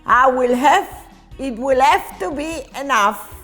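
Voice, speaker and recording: A woman reading a children's story aloud in an expressive, deliberate way, occasionally stumbling over words. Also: high-pitched, faint music behind. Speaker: storytime reader.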